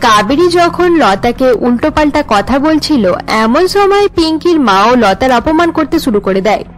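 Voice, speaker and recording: High-pitched woman storyteller. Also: very animated, normal speed, soft background music. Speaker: narrator. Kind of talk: scripted cartoon storytelling narration.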